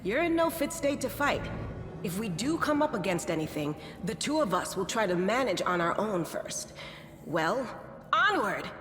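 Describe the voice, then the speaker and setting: A woman's voice: medium pitch, big pitch swings, normal speed, noisy background, close to an everyday voice. Actress as echoing, spirited young crusader woman. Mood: playful, rallying. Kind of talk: fantasy video game voice acting. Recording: echoey reverberant hall, music behind. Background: game music.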